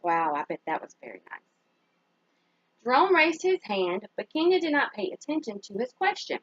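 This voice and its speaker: A woman reading a children's story aloud as a teacher would, pausing to put simple questions to young listeners and praise their answers. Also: a little high, big pitch swings, a bit bright, breathy edge, dry recording, highs cut off.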